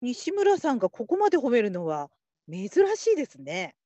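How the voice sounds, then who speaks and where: Brisk, chivvying woman boss actress, radio drama, animated delivery, highish voice. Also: no echo, highs cut off. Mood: brisk, encouraging. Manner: chivvying, upbeat. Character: brisk, encouraging female team leader.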